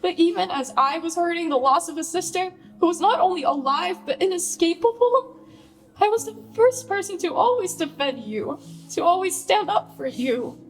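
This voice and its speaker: A woman's voice: extremely high pitch, lively intonation, normal speed, soft background music. An actress playing a hurt, furious bride confronting her famous sister in a naturalistic radio play, scolding and pleading with emphatic profanity. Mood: wounded, bitter.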